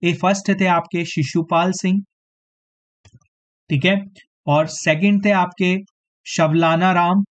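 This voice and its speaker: A man teaching a live online exam-prep quiz, slightly hoarse, clearing his throat now and then and tagging his sentences with a question of agreement to his students. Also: high-pitched, fairly even intonation, takes it slowly, pauses often. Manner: repeats "theek hai" as a tag, addresses students directly.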